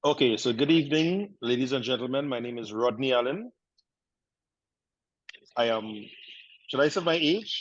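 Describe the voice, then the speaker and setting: A man in his fifties speaking on a panel about his life, relaxed and chatty with frequent restarts. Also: medium pitch, normal speed, long pauses, no room sound, lo-fi sound. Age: 50s. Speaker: panel guest. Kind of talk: casual chat.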